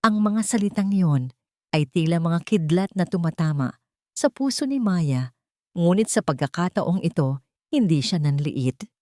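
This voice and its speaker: Woman, lowish pitch, scripted story narration, solemn and melodramatic, dry sound. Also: expressive, a little slow, warm tone, dry recording.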